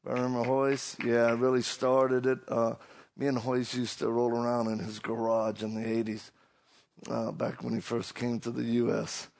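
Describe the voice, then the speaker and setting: A man's voice: mid-range voice, completely flat delivery, moderate pace, vocal fry, darkish tone. A middle-aged man from Louisiana with a Cajun accent, a preacher giving a hyped-up, self-deprecating comic talk to a live audience. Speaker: preacher and motivational speaker.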